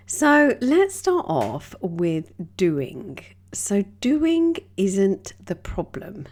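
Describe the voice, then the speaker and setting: A woman hosting a wellbeing podcast, speaking gently with a slightly croaky voice. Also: on the low side. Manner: soft, gentle, unhurried delivery with drawn-out phrases. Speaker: yoga and wellbeing podcast host. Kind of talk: reflective wellbeing talk.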